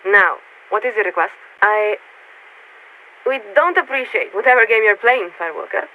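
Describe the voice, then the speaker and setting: A woman playing a polite, guarded young warship radio signaler in a video game, speaking in formal, measured official diction through a radio filter. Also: mid-range voice. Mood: stern, irritated. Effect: radio transmission filter. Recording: tinny narrowband radio with static noise.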